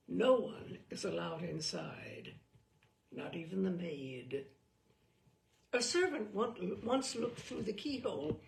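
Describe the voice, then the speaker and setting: A woman storyteller reads darkly comic gothic narration with theatrical deliberation. Her voice is slow, animated and creaky, recorded in an echoey room. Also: medium pitch. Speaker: storyteller, reader.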